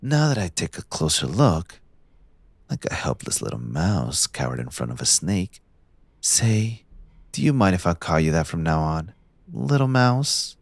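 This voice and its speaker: A man playing a possessive, smug young mafia heir in a scripted audio roleplay, speaking in a theatrical, condescending, deliberate villain's drawl. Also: low-pitched, very animated, no room sound, exaggerated voice. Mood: smug, calculating, then possessive, menacing.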